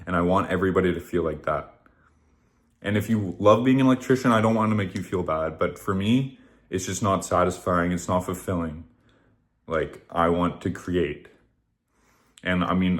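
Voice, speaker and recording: A man in his thirties giving a casual, rambling motivational talk to camera, swearing often and shrugging things off with "whatever.". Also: low-pitched. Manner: rambling, profane, repeats "whatever". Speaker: vlogger.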